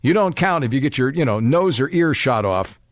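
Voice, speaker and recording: A man's voice: medium pitch, animated, moderate pace, no room sound, studio quality. A man hosting a talk radio show, reading a letter aloud with emphatic, sardonic asides.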